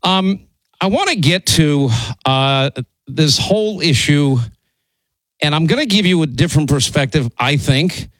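A middle-aged man hosting a talk radio show, hoarse from laryngitis, rambling and repeating himself as he pushes through. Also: fairly high pitch, expressive, unhurried, a bit bright, no echo, rough recording. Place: radio studio.

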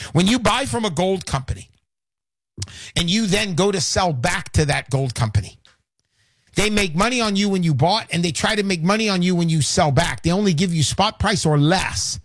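A man in his sixties hosting a radio call-in show, pitching live in a fervent, emphatic way, often repeating himself, folksy and boastful. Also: animated, moderate pace, dry recording, studio quality. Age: 60s. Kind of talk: live radio sales talk.

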